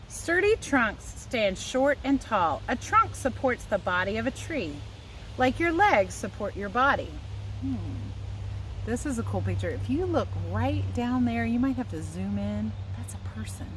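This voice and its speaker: A woman teacher giving a chatty, expressive read-aloud lesson for young children, speaking outdoors. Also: on the high side, measured pace, slight background noise, poor audio. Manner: chatty, expressive asides and questions to listeners. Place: outdoors in a yard.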